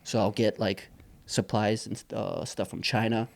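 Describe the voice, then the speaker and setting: A man's voice: on the low side, moderate pace, gravelly. A young man from Saipan, a business owner, telling his story in a casual interview with loose, rambling talk full of fillers. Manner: relaxed, rambling, many fillers and restarts. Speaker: entrepreneur guest.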